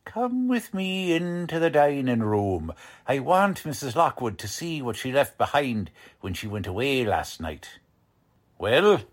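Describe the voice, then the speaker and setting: A man playing a gruff, impatient, bullying 1940s police lieutenant in radio drama style, with slangy tough-cop talk. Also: medium pitch, very animated, measured pace. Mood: accusing, impatient.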